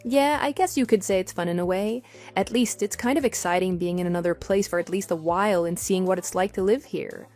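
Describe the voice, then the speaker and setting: Actress as a sweet, chatty wife. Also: female voice, lowish voice, a little fast, few pauses, darkish tone, soft background music, natural voice. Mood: fond, playful. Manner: chatty, affectionate. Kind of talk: scripted texting-story radio drama.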